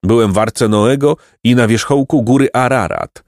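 A man's voice: medium pitch, moderate pace, dry recording, clean studio sound, pitched above the performer's own voice, close to an everyday voice. Man voicing an ancient, stern storybook raven. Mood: proud, solemn. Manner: solemn, condemning, oracular. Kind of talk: storybook character reading.

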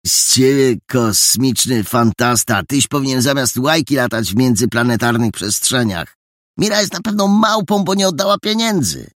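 A man's voice: mid-range voice, normal speed, a bit bright, no echo, pitched above the performer's own voice, lightly acted. A man voicing a level-headed, sceptical boy detective with a dry, mocking manner.

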